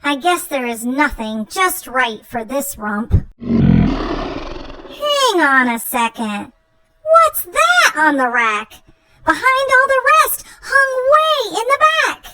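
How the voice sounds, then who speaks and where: A woman voicing a fussy, melodramatic young monster child in a theatrical children's picture book read-aloud, with exaggerated, drawn-out words. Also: high voice, wildly swooping pitch, sharp tone, voice pushed up, heavily acted, poor audio. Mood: excited delight.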